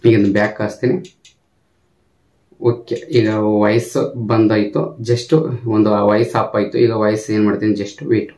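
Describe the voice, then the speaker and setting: A man's voice: lowish voice, level tone, moderate pace, pauses often, darkish tone. A man hosting an informal step-by-step tech tutorial, explaining emphatically and repetitively with English tech words mixed in. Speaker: YouTube tech tutorial host.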